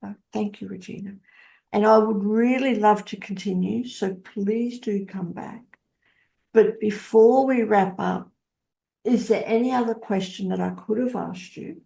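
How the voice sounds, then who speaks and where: A woman hosting a spiritual interview, speaking solemnly in halting, short phrases. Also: medium pitch, gravelly, dark tone. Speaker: interview host.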